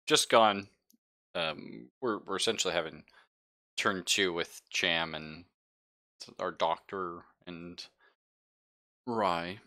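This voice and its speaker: Man, game master, low voice, bright tone, casual streamed tabletop chat. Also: takes it slowly, pauses often.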